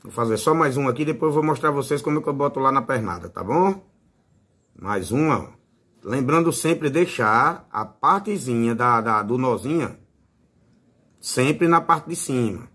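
A man's voice: mid-range voice, expressive, moderate pace, sharp tone, slightly rough. A man with a Northeastern Brazilian accent giving a casual, informal hands-on tutorial as a fishing channel host. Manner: informal, fond of diminutives.

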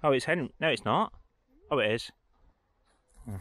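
A man's voice: medium pitch, expressive, measured pace, a bit bright. A man giving casual, informal vlog commentary, thinking aloud as he walks outdoors. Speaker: travel vlogger. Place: outdoors, walking around a village churchyard.

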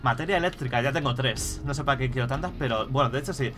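A man's voice: mid-range voice, fast, fairly bright tone. Swearing Spanish man streaming a game. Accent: Spain Spanish. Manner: swears freely, muttering to himself while playing. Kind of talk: casual gameplay commentary. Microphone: headset mic. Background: game music.

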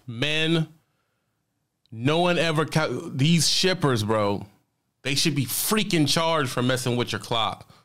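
A man's voice: mid-range voice, slow, fairly bright tone. A man doing casual reaction commentary on a stream, blunt and emphatic, with slangy asides, recorded at home on a studio mic. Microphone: studio mic on boom, headphones.

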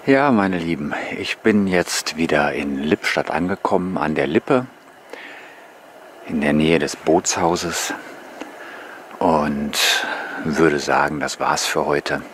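A man giving relaxed, musing, casual commentary to viewers as a vlogger on a bike tour. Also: extremely low pitch, slow. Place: outdoors on rural paths and waterside. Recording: noisy outdoor recording with passing vehicles.

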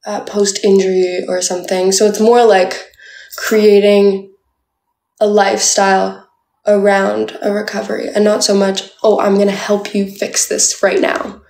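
A woman's voice: mid-range voice, little pitch movement, unhurried, vocal fry, darkish tone, roomy sound. A young woman from Canada chatting playfully with viewers on a livestream, full of "like" fillers, filmed on a phone held at arm's length indoors. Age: young adult. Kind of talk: casual live chat with viewers. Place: indoors, small room.

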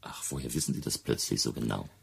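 Radio play casting: touchy gentleman witness, creaky, badly hoarse-edged bass, emphatic and defensive. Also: quick pace, exaggerated voice. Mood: puzzled. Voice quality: deep, gravelly. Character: touchy, indignant gentleman friend of a missing singer. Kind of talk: German radio play acting.

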